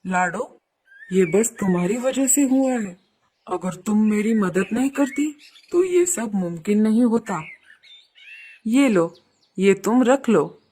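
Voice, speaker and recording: A woman's voice: medium pitch, animated, slow, long pauses, warm tone, slight background noise. A woman voicing a polite, grateful male crow innkeeper in a children's cartoon story, courteous and earnest.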